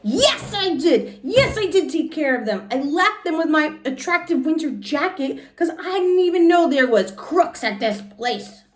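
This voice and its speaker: A woman voicing an excitable, stubborn little girl telling her own story with emphatic, childish grammar in a storybook read-aloud. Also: high-pitched, a little slow, few pauses, pitched above the performer's own voice. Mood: indignant. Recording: echoey room, distant from mic.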